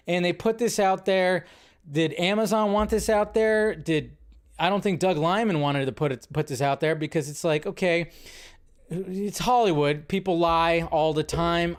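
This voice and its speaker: A young adult man hosting a live movie news stream, chatty and rambling, a little sleep deprived. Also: a little high. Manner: chatty and rambling, loses his train of thought. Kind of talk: casual live-stream commentary.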